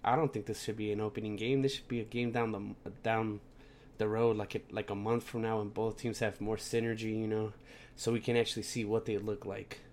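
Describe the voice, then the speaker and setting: A man's voice: lowish voice, little pitch movement, fairly quick, darkish tone, a little hiss or hum. Congested young man rambling basketball picks. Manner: rambling, filler-heavy, restarts sentences. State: congested, plugged up from a cold. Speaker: podcast host. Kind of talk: casual sports picks podcast.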